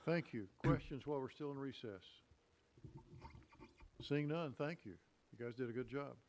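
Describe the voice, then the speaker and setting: A man chairing a legislative hearing makes short procedural remarks. His voice is mid-pitched and sounds as though he is speaking away from the microphone. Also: normal speed, a little mellow, slight background noise. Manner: brief, procedural. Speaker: committee chairman.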